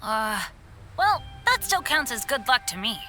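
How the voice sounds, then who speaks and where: Very bright, earnest youngster. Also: female voice, fairly high pitch, measured pace, soft background music, full character voice. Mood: sheepish, grateful. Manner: expressive, informal. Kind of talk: video game cutscene voice acting.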